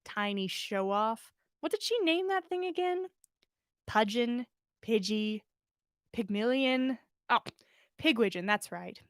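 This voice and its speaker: A woman's voice: a little high, expressive, takes it slowly, pauses often, no echo, studio quality. A woman voicing an awkward, good-hearted young man who grumbles, in a storybook reading. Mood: grumbling. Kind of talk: storybook character dialogue.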